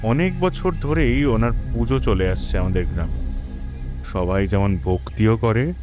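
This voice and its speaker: A man's voice: lowish voice, lively intonation, measured pace. A friendly young village local. He gossips colloquially to his friend in an audio drama, with music underneath. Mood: explaining, confiding. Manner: colloquial, often checks listener understands.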